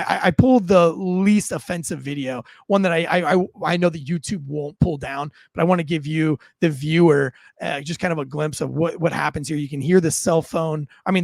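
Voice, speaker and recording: A man hosting an online news show, giving informal, unscripted commentary to viewers in a halting way, often repeating words. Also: fairly high pitch, creaky, no room sound.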